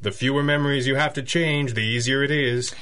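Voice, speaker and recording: An actor playing a composed, gentlemanly superhero in a 1930s radio drama, with a measured, formal and dry manner. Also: male voice, medium pitch, steady tone, natural voice. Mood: satisfied, assured. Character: composed, gentlemanly male masked superhero, the Red Panda.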